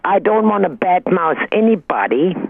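A woman calling in to a radio show, talking in a rambling, emphatic way with a loud voice. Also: on the low side, big pitch swings, moderate pace, vocal fry, no room sound. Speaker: caller. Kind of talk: call-in radio comment. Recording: tinny phone line.

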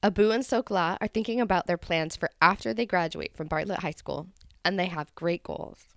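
Creaky-voiced woman hosting radio. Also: fairly low pitch, normal speed, fairly bright tone, no echo, clean studio sound. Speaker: host. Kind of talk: scripted radio narration.

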